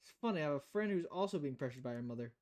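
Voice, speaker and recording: A low voice for a stern, tired female bartender in a visual novel, as a woman puts her on. She is dry and blunt, speaking in a measured deadpan. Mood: blunt advice.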